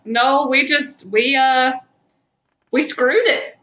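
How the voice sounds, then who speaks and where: A woman's voice: sits high, steady tone, takes it slowly, pushed and bright. A woman co-hosting a podcast in casual, joking chat. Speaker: podcast co-host. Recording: muffled, roomy, faintly noisy home recording.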